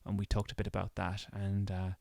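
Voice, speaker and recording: Irish man, podcast host, casual, repeats himself, lowish voice. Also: brisk, gravelly, dry recording, studio quality. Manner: relaxed, repeats words as he talks. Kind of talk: casual chat.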